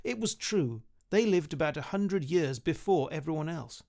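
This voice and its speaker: A man's voice: a little high, animated, normal speed, gravelly, a little mellow. A British man, around his thirties, reading a children's story expressively into a close studio microphone. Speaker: author reading his book. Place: small home studio room, indoors. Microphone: studio condenser with pop filter, close.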